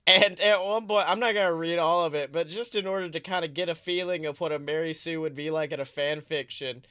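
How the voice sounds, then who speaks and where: Podcast host, mid-pitched voice, wry casual chat, very dry recording, keeps interrupting themself. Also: brisk, pushed and bright, clear, resonant tone, no echo. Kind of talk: casual chat and reading fan fiction aloud.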